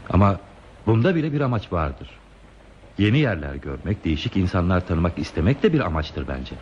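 A man's voice: sits low, sing-song. An actor playing a lonely, talkative man who is dreamily devoted to trains, musing poetically in a radio play. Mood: gentle, chatty. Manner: musing, poetic, slow pauses in monologue. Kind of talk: radio theatre acting. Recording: dull, lo-fi radio play tape, hissy.

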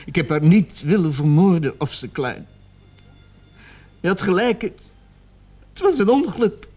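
An actor in a radio drama playing a cornered, remorseful young male murder suspect who confesses in a halting, defensive way. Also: sits high, sing-song, darkish tone, faint music behind. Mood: tearful, remorseful.

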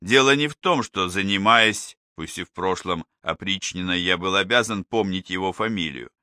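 Bright, lowish male audiobook narrator. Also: moderate pace, no echo, studio quality. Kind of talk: first-person memoir reading.

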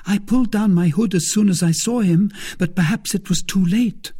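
Man voicing a high, pleading young maid. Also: normal speed, mellow, husky. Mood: anxious. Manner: emotional, pleading. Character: frightened, devoted young maid, pleading and lovelorn. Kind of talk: dramatised audiobook reading.

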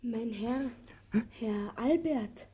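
A meek young messenger boy in a radio play. The voice is fairly high, mellow and breathy, answering slowly and politely with timid uncertainty. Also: heavily acted. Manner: hesitant, very brief polite answers. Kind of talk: radio drama acting.

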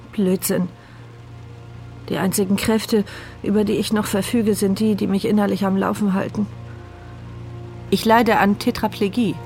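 A woman's voice: medium pitch, darkish tone, natural voice. An actress voicing a paralysed, blind and mute woman in a radio drama, narrating her inner thoughts in a dry, sardonic, reflective way.

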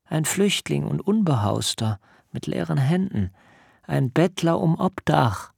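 An actor playing a proud, eloquent fugitive nephew in a literary radio drama, measured and ornate in speech, with some creak. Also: male voice, a little high, sing-song, a little mellow, dry recording, clean studio sound, exaggerated voice. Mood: plaintive, rueful. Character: destitute but proud, eloquent fugitive nephew, smooth-tongued.

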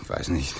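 An actor in his thirties playing a guarded, evasive working man under questioning in a radio play, hesitant and subdued, with a breathy husky voice. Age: 30s. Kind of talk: 1980s German radio play acting.

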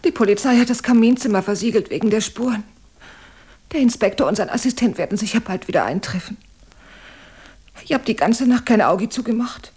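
Elegant female lead, radio play: highish husky voice, earnest, emotional, expressive. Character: elegant woman of Spanish descent, earnest and emotional. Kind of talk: German radio drama acting.

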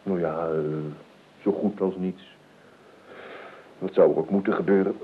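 An actor playing a nervous, evasive, eccentric gentleman in a radio play, stammering and repeating himself with ironic courtesy. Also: sits low, fairly even intonation, a little slow. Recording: tinny, band-limited old radio recording with noise.

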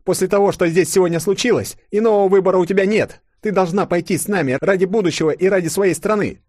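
A man performs a bossy young woman comrade in an audiobook drama. The voice is pushed well up high, stern and insistent, full of force. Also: quick pace, a little mellow, no room sound, studio quality. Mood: stern, urgent.

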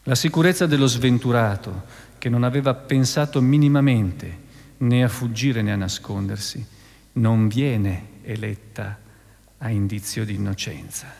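Male narrator for radio theatre, scripted delivery, medium pitch, big reverberant space. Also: unhurried, creaky, darkish tone. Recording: long hall reverb, faint music.

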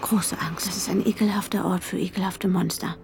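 An actress playing an anxious, obsessive woman in a German radio drama, tense and breathy, often dropping to a whisper. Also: fairly low pitch, fairly even intonation, fairly quick, noisy background. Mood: fearful, hushed. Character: anxious, obsessive, unstable woman narrating her own desperation. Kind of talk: German radio drama acting with inner monologue.

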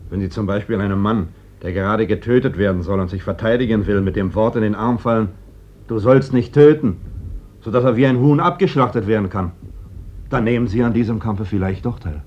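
An actor playing a bitter Republican worker in a radio play, probing with pointed, insistent irony. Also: male voice, lowish voice, a little fast, a little mellow, lightly acted. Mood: ironic, pointed. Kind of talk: German radio play acting. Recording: dull, noisy old radio recording.

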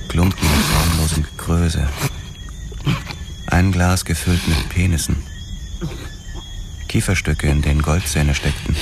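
An actor playing a police detective who narrates a grim crime radio drama in a sombre, deliberate, terse way. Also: male voice, a bass voice, noisy background. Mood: shaken, restrained. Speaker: first-person narrator.

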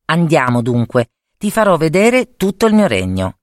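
A woman's voice: low-pitched, very animated, normal speed, no room sound, clean studio sound. A woman voicing an ardent young spring prince, proud and tender, in a storybook fairy tale. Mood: tender, resolute.

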